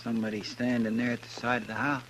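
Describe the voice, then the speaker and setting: A man's voice: mid-range voice, flat delivery, fast, lightly acted. A man playing a folksy, fretful, loyal deputy in a radio western, with a rural American drawl and a deferential, grumbling manner. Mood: uneasy. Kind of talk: 1950s radio western acting. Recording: noisy old radio recording.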